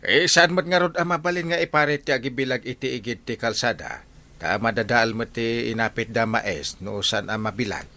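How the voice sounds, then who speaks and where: A man's voice: medium pitch, moderate pace, sharp tone. A man playing a lazy, whining, jokey village captain in an Ilocano radio comedy, with an Ilocano Filipino accent and chuckling exclamations. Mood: grumbling.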